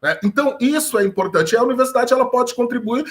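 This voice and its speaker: A Brazilian man, a history professor, talks as a guest in a high, light voice. He explains in long, earnest stretches and asks himself rhetorical questions as he goes. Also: quick pace. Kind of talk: explanatory talk.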